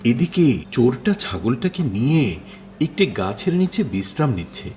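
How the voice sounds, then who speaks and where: A man's voice: medium pitch, sing-song, moderate pace, heavy background noise. A man narrating a children's cartoon story. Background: music.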